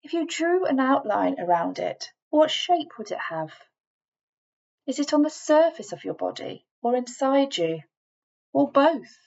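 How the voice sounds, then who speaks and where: A woman reading a scripted guided relaxation in a measured, instructing manner, leaving deliberate pauses between phrases. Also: high-pitched, sing-song, gravelly, a little mellow, dull audio. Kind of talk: scripted guided meditation voiceover.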